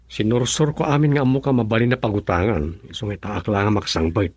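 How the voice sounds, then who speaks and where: An actor playing a poor, devoted husband who pleads and defends himself in an Ilocano radio drama, with a gravelly, husky voice. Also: male voice, lowish voice, fairly even intonation, quick pace, a little mellow, no room sound, natural voice. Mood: defensive.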